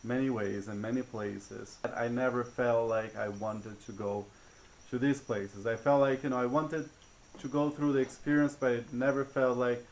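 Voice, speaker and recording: A man's voice: mid-range voice, steady tone, moderate pace, darkish tone, slight background noise, rough recording. A man from Brazil, a retreat chef giving a testimonial in a hesitant way with many fillers and restarts. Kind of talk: testimonial interview.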